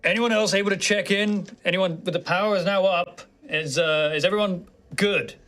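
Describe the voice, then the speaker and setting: A man improvising casually as a player in a tabletop roleplaying game, speaking in a halting way. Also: high voice, a bit bright. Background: music.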